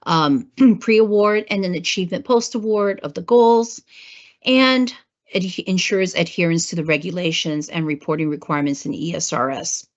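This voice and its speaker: A woman with a hoarse voice from a cold, presenting a government training webinar, clearing her throat and using many fillers. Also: lowish voice. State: hoarse, getting over a cold. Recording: muffled lo-fi webinar audio.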